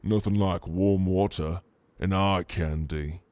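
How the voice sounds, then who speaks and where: A man playing a flirtatious, protective orc in an intimate ASMR roleplay, with a teasing, casual and gentlemanly manner. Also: low-pitched, fairly even intonation, slow, dry recording. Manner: teasing, intimate, casual drawl with soft chuckles. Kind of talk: ASMR roleplay addressed to the listener.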